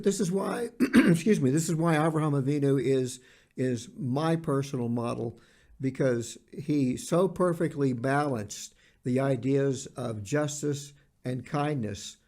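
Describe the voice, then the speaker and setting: Man, mellow voice, earnest, halting, repeats phrases for emphasis, conversational podcast. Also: medium pitch. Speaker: podcast co-host.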